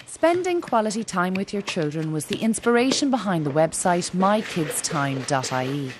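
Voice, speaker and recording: A woman reporter reading a scripted news voice-over. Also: lowish voice.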